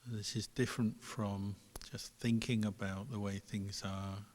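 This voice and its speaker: A man leads a guided meditation as a monk would, calmly and very slowly. His voice sits on the low side and leaves gentle gaps between phrases. It rings out with long reverb, as in a large hall. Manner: calm, unhurried, gentle pauses between phrases. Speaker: Buddhist monk, meditation teacher.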